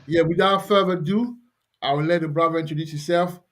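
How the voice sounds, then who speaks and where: A man's voice: on the high side, fairly even intonation, a little fast, fairly bright tone. A man hosting an online scripture lesson, talking loosely with many fillers and repeats. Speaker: host teaching scripture. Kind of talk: casual religious teaching over video call.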